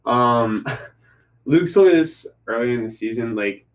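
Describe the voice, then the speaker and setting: A man on a casual sports chat, speaking haltingly with many fillers and small laughs. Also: mid-range voice, expressive. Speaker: panelist.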